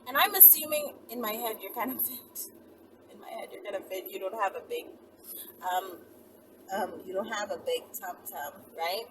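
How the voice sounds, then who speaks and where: A woman's voice: medium pitch, normal speed. A woman in her thirties giving casual, conversational instructions to camera, explaining step by step with frequent check-in questions. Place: inside a car, daytime. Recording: distant mic, loud vehicle and road noise. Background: vehicle ambience.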